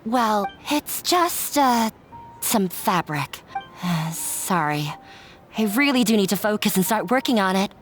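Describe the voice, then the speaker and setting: A woman's voice: mid-range voice, lively intonation, close to an everyday voice. A woman playing a shy, awkward, flustered crafter in a video game, speaking hesitantly. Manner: halting, hesitant. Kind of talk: English game voice acting. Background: music.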